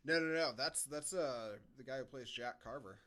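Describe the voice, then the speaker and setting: A man's voice: mid-range voice, moderate pace, bright, forceful tone. A man hosting a podcast, chatting casually about movies with sarcastic, exasperated asides. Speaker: podcast host. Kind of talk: casual movie review banter.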